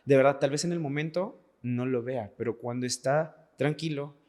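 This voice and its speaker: A man's voice: mid-range voice, normal speed, a little mellow. A Mexican man of about thirty speaking earnestly and personally in a podcast conversation, repeating words for emphasis. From Veracruz, Mexico. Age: late 20s to 30s. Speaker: podcast guest, dancer. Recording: echoey hall-like reverb.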